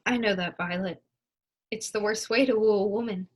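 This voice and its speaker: A woman's voice: mid-range voice, normal speed, natural voice. A young woman acting an ardent, impatient lover in a stage melodrama, declaiming with passion. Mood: pleading, impassioned. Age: young adult. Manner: declamatory, impassioned. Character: ardent, impatient lover, eloquently scolding and pleading. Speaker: student actor. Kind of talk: stage acting in a one-act period melodrama.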